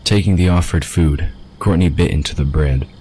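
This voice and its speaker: Very deep-voiced male narrator. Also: normal speed, mellow, slight background noise. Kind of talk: scripted fantasy audio drama narration. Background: ambient sound effects.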